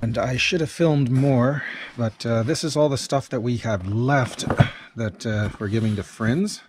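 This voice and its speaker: A man's voice: medium pitch, a little slow, noisy background. A man chatting casually while filming as a vlogger on a handheld camera. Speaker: vlogger filming a foraging trip. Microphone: handheld action camera.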